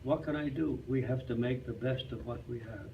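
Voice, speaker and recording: A man's voice: medium pitch, moderate pace, vocal fry, lo-fi sound. Man reading a story, distant mic. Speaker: reader in a reader's theater. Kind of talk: live storytelling, reading aloud to an audience. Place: clubhouse hall, live performance. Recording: distant mic in hall, faint noise.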